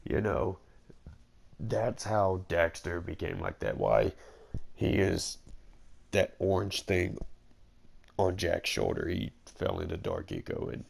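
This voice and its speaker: Male gaming commentator: very low, gravelly voice, informal rambling delivery, game music faintly behind. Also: big pitch swings, slow pace, pauses often. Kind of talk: casual gameplay commentary.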